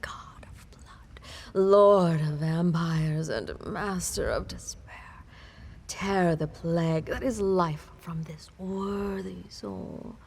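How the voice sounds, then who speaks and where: Woman playing sinister vampire mistress, low gravelly breathy voice, often whispering, imperious, darkly tender, theatrical audio roleplay. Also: slow pace, a little mellow, heavily acted. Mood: solemn invocation.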